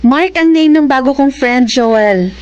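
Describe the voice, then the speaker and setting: A woman's voice: sits high, unhurried, a little mellow, dull audio. An actress playing Jenny, a restless young working woman with a secret male friend, in a Filipino radio drama. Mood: defensive, casual. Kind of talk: Filipino radio drama acting and letter narration. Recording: music bed or vehicle noise behind.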